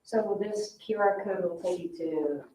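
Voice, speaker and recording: A woman's voice: on the low side, normal speed, mellow, slight background noise. A woman taking part in an informal discussion at a public meeting. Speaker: meeting participant. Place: indoor public meeting room. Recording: echoey room, distant microphone.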